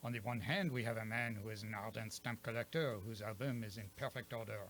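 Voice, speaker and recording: A man's voice: fairly low pitch, steady tone, quick pace, fairly bright tone, lightly acted. A man playing a courtly, self-assured detective in a radio mystery play, with a French accent and a precise, theatrical manner. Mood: genial, explaining. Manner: precise, theatrical, savoring his explanations.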